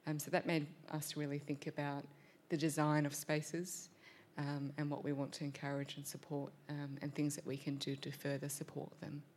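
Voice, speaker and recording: A woman speaking on a panel, thoughtful and measured, with frequent pauses and ums. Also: low voice, completely flat delivery, darkish tone, slight background noise. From Australia. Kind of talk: panel discussion.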